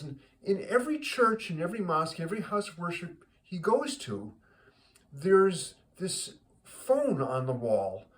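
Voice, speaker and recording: A man hosting a casual joke segment, speaking to listeners in a rambling, halting way and often repeating words as he goes. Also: fairly high pitch. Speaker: joke teller, host of a regular joke segment.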